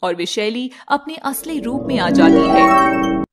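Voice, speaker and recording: A woman narrating a scripted animated fairy tale as storyteller, with noise behind her. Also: on the high side, slow, over loud music.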